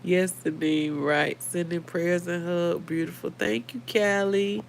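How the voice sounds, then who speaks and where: An American woman talks earnestly and conversationally to her livestream audience. Her voice is slightly hoarse with a creaky edge, and she speaks at a slow pace. There is faint noise behind her. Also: lowish voice, fairly even intonation. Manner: conversational, earnest, addresses listeners directly. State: a little hoarse. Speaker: livestream host. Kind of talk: casual live chat reading viewer comments.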